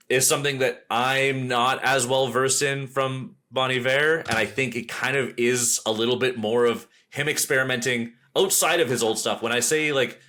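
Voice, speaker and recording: A man hosts a casual music podcast with a clearly bright voice at an ordinary male pitch. He rambles with enthusiasm as he works out his opinions aloud. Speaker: podcast host reviewing music albums. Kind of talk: casual music review chat.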